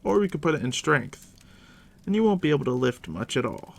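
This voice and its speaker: A man doing a soft, deep-whispered ASMR roleplay as a game master, unhurried and reassuring, speaking directly to the listener up close. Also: sing-song, vocal fry. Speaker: game master guiding a new player.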